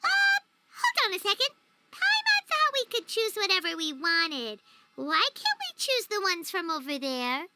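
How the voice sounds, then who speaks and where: A woman voicing a small, excitable, childlike fairy companion in a video game, chatty and naive, with a squeaky voice. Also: a little slow, heavy background noise, heavily acted. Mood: puzzled, wary. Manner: refers to self in third person, exclaiming, wheedling. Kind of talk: video game cutscene acting. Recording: music under the voice.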